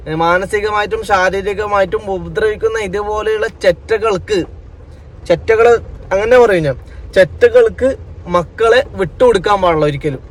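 A man with a Kerala Malayalam accent giving unscripted news commentary, addressing people directly and admonishingly with repeated points. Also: sits high. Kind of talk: unscripted opinion commentary to camera.

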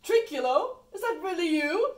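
A woman puts on a bumbling puppet schemer, high and very animated, with hesitant, exaggerated comic delivery. The homemade show sounds roomy and off-mic, with faint noise. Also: normal speed. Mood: amazed, boisterous. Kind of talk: amateur puppet-show acting. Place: indoor room with puppet stage. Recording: echoey room, distant mic, faint noise.

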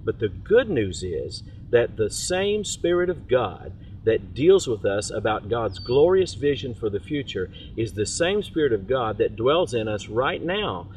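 Middle-aged male pastor, outdoor devotional, earnest and steady, mid-pitched voice, faint outdoor hiss behind. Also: a little slow, hardly any pauses, rough recording. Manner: earnest, steady preaching with hand gestures. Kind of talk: short devotional message. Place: outdoors in a park, on a bench.

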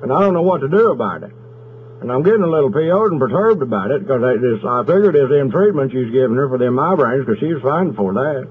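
A man's voice: medium pitch, fast, natural voice. A man playing an aggrieved, belligerent country husband on a prank phone call, with a Southern accent from Oklahoma and a folksy, rambling way of talking. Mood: frustrated. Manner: folksy, rambling, deadpan menacing. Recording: noisy, tinny telephone line.

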